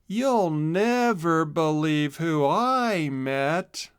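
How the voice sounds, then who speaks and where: A man voicing a young, excitable male hedgehog with a high-pitched voice in a children's storybook. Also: extremely slow, clear, resonant tone, dry recording, clean studio sound. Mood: downcast. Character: young cheerful male hedgehog singing and exclaiming.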